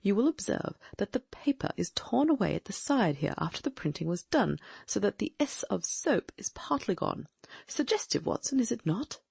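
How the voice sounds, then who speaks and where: A woman's voice: mid-range voice, big pitch swings, gravelly, a little mellow, husky, no echo, heavily acted. A woman reading the part of a shrewd, inquisitive middle-aged male detective, asking probing questions as she muses aloud in an audiobook. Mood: musing. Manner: probing, musing, quick questions.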